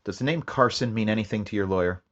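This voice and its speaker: A man's voice: lowish voice, quick pace, fairly bright tone, no room sound, highs cut off, lightly acted. An actor playing a clipped, matter-of-fact male police detective who also narrates a radio drama. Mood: interrogating. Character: terse male police detective, steady and dry.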